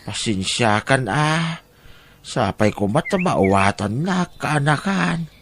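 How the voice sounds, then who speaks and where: Man acting village uncle, Ilocano accent, apologetic drawl, slow, animated intonation, audio drama. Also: medium pitch, vocal fry, slight background noise, heavily acted. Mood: regretful. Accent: Filipino, Ilocano. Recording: music under the voice.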